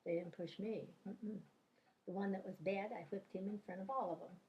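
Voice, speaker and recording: An older woman recounting stories from her teaching days in a relaxed interview. She rambles from one anecdote to the next and fills her pauses with "uh" and "you know." Her voice sits at an ordinary middle pitch for a woman. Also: highs cut off. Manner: rambling anecdotal storyteller, many fillers and restarts. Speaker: grandmother telling life stories, retired teacher. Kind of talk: casual reminiscing interview.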